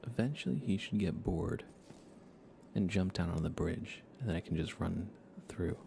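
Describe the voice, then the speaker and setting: A man with a low-pitched, creaky voice gives hushed ASMR commentary while streaming a game. He speaks softly and sometimes drops toward a whisper. There is clear noise behind his voice. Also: moderate pace. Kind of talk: soft-spoken ASMR live game commentary.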